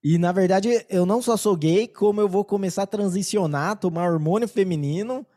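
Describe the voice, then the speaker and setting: Mellow, higher-pitched Brazilian man, casual and halting. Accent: Brazilian Portuguese. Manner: informal, halting, slangy. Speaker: podcast co-host. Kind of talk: casual chat.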